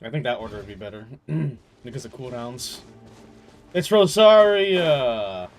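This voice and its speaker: A man's voice: mid-range voice, lively intonation, measured pace. A man giving casual gameplay commentary, rambling and musing to himself as he plays. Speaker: game streamer. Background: game music.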